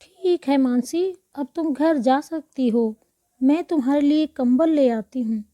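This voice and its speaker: A woman acts out a humble, kindly village woman in a cartoon moral tale. The voice is high and very soft-toned, earnest and slow, in a dry recording. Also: no room sound, muffled recording. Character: humble, kindly village women, maid and mistress. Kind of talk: children's moral story cartoon dialogue.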